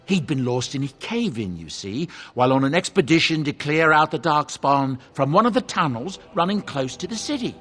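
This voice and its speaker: A man's voice: fairly high pitch, sing-song, moderate pace, few pauses, fairly bright tone, husky, soft background music, full character voice. An actor playing a genial middle-aged dwarven merchant and adoptive father, telling his story in a folksy, self-justifying way for a video game. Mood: wry reminiscing.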